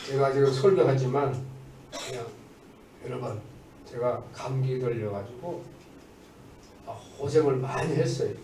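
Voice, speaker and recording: A man's voice: mid-range voice, a little slow, long pauses, background noise. A man preaching a Sunday church sermon as a pastor, exhorting his listeners in a manner that moves between emphatic proclamation and conversational asides. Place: indoors at a church service. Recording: echoey church room, distant mic.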